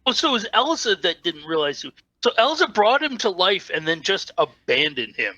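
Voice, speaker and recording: A man with a high, animated voice gives casual riffing commentary as a co-host. His tone is bright and sharp, picked up very dry. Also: normal speed, dry recording, dull audio.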